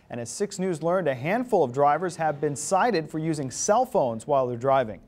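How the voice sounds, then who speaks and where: A man's voice: on the high side, darkish tone. A man reading scripted news as a television anchor. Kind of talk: scripted newscast delivery.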